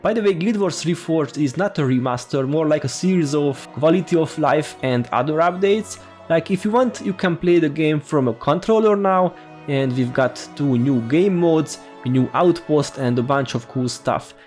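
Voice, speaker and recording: A man's voice: fairly high pitch, animated, normal speed, a little mellow, faint music behind. A man with a strong Hungarian accent, giving a friendly, informal game tutorial as a voice-over. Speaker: gaming YouTuber.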